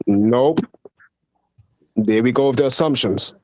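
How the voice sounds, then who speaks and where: A man's voice: medium pitch, takes it slowly, a little mellow, muffled recording. Teasing British man. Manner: teasing, playful. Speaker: podcast co-host. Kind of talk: casual chat.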